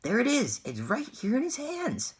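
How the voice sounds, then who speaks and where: A man reading aloud, voicing a fat, kindly male shopkeeper who shouts high and excitedly. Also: brisk, breathy edge. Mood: ecstatic.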